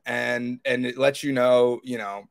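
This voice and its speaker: Man with a gravelly, creaky voice. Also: medium pitch, steady tone, a little slow. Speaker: podcast co-host. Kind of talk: casual fan discussion of TV episodes.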